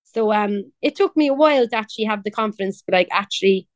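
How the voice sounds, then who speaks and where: Young woman, Cork Irish accent, chatty and laughing, mid pitch, dry close sound. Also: quick pace, no echo. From Ireland. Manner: chatty, laughing, quoting others in voices. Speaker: pop singer, guest. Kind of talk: casual interview chat.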